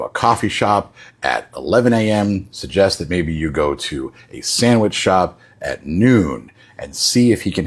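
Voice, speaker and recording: Very deep, husky-voiced man giving advice. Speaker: social media advice creator. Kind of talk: direct-to-camera dating advice monologue.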